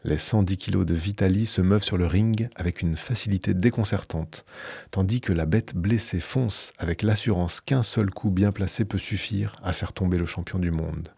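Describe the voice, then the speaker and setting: A man who is a radio book columnist, reading a book aloud in a measured, emphatic way and then reviewing it, in a radio studio. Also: deep voice, vocal fry, mellow, breathy edge, dry recording.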